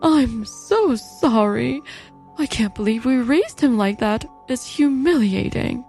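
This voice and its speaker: A woman's voice: a little high, lively intonation, slow pace, a little mellow, faint music behind. A woman voicing a kindly but indignant middle-aged mother in a dubbed manga drama. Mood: appalled, indignant.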